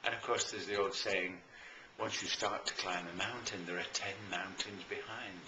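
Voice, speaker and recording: A British man speaking as a coach promoting his services in an informal, enthusiastic and emphatic talk with deliberate pauses, recorded in a roomy space. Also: low-pitched, fairly even intonation, sharp tone. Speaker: life and business coach promoting own services. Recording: roomy echo, faint background noise.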